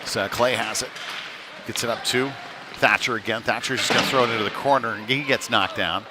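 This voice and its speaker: A man giving halting, conversational live play-by-play of a youth hockey game in a folksy manner. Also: medium pitch, steady tone. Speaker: play-by-play commentator. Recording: noisy live rink stream.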